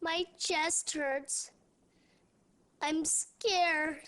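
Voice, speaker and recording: A frightened emergency patient in a video game. Her high voice comes very slowly, halting with pain. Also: steady tone, a bit bright, full character voice. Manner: pained, halting. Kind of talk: video game live-action acting.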